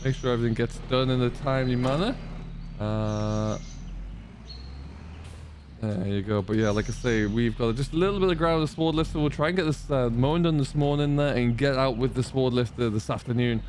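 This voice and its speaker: A man's voice: mid-range voice, brisk, a little mellow, slight background noise. A man hosting a farming roleplay gameplay video, chatting casually and explaining things as he thinks aloud. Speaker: gaming video host, playing a tenant farmer.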